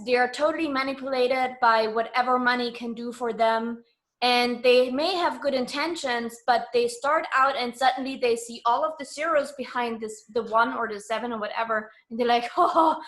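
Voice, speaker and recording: A woman with a high voice, speaking as a language. She talks like a guest in a casual interview, sure of herself and informal. Her delivery is emphatic as she explains her views. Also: normal speed, fairly bright tone. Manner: emphatic, self-assured, informal.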